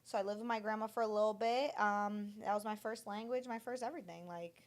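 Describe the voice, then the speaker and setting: Young woman, Portuguese first language, casual podcast chat, blunt and profane, middle pitch. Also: fast talker. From born in Florida, raised partly in Brazil. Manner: blunt, profane, animated storytelling. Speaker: podcast co-host.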